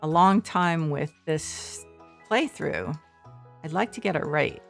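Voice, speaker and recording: A woman streaming a farming game from her shared living room, rambling softly with many fillers. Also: low-pitched, slow pace, gravelly, soft background music. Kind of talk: casual gameplay commentary.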